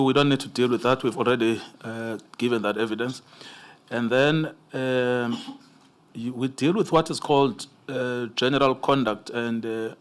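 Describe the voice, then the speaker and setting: A male advocate cross-examines a witness. He speaks in a middle-pitched voice. His measured questioning is broken up by frequent hesitations and filler sounds. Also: clean tone.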